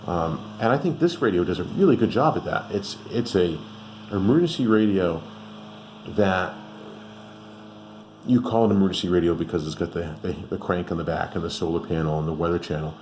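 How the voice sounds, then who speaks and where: A man with a low, deep voice talks through a product review in a casual, unscripted way. He rambles conversationally, thinking aloud as he goes. There is faint background noise in the recording. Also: brisk, darkish tone. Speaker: radio reviewer and channel host.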